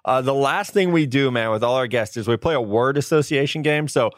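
A man hosting a podcast interview, talking in a relaxed, chatty way with quick asides. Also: medium pitch, no room sound, studio quality. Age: adult, older than a college player. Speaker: podcast host. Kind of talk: casual interview chat.

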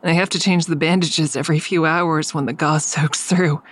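A woman's voice: low voice, steady tone, moderate pace. An actress in an audio drama playing an exhausted, defiant woman who tells of her ordeal in a grim, blunt way. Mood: weary, grim. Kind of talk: first-person dramatic narration in audio drama.

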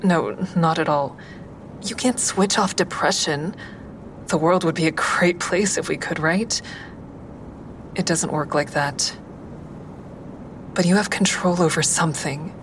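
A low female voice plays a crisis line counselor in an audio drama. She is measured and candid, consoling without sugarcoating. A clear rumbling noise sits behind her. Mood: firm, encouraging. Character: woman crisis hotline counselor, calm, candid, dog owner. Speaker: crisis line counselor and inner-monologue narrator. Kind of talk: audio drama acting with first-person asides.

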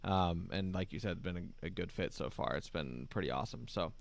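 Man, podcast co-host, low voice, dry close mic, easygoing, rambling fillers. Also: fairly quick, vocal fry, no room sound. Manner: relaxed, many fillers like "you know". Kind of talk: casual podcast chat.

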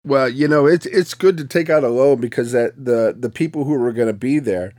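A man's voice: mid-range voice, fairly quick, a little mellow, no room sound, studio quality. A man co-hosting a podcast, speaking in a casual, conversational style with short remarks. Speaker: podcast co-host. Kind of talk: casual chat with short interjections.

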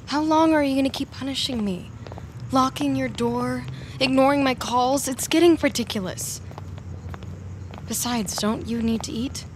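A woman's voice: high voice, slight background noise. An actress in an audio drama playing an intense, jealous young woman who is insistent and pleading. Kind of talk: naturalistic audio drama acting.

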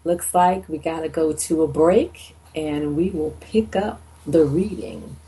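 A woman hosting a radio show as a minister, talking conversationally about faith in a deliberate way with frequent pauses. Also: sits low, animated, warm tone, slightly rough, low-quality recording. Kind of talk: conversational devotional talk.

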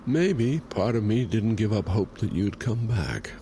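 An actor playing a guilt-worn, wry brother in an audio drama, speaking haltingly and naturalistically. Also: male voice, lowish voice, sing-song, warm tone, slight background noise. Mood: defensive, hesitant.

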